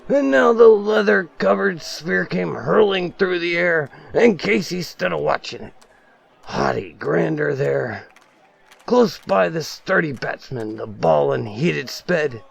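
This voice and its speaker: A man reads a classic poem as a theatrical recitation, emphatic, moving between loud declaiming and hushed, drawn-out phrases. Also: a little high, lively intonation, gravelly, slightly rough. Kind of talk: theatrical dramatic poetry recitation. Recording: music and crowd noise behind. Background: music and crowd sounds.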